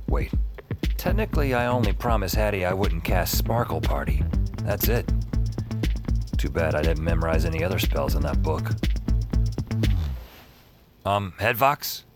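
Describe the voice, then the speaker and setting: A man's voice: deep voice, lively intonation, measured pace, long pauses. A man playing a cocky, restless, wisecracking novice spellcaster who narrates his own audio drama in a wry, conversational way. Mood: restless craving. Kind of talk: first-person audio drama narration and acting. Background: music.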